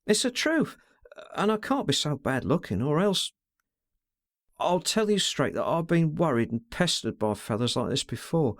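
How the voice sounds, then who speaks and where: A man's voice: a little high, very animated, moderate pace, dry recording, studio quality. A man reading a novel aloud, voicing a distressed young woman under twenty who speaks hurriedly, stammers and pleads ardently. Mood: pleading.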